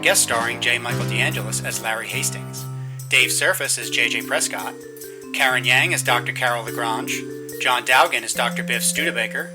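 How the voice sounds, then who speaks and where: A man announcing in a scripted credits-narration style. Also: mid-range voice. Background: loud music.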